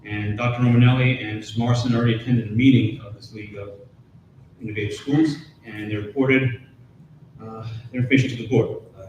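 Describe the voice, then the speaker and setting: A man reads a committee report steadily, with the odd uh. He sounds distant from the microphone in a strongly echoing meeting room with a faint rumble. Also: muffled recording. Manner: steady, matter-of-fact, occasional uhs. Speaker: board member, committee chair. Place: school board meeting room.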